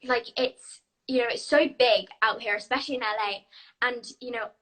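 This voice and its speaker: A woman's voice: a little high, pushed and bright, rough recording. A young British woman, an actress, answering interview questions in a chatty, upbeat way. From United Kingdom. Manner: chatty, upbeat, quick to laugh.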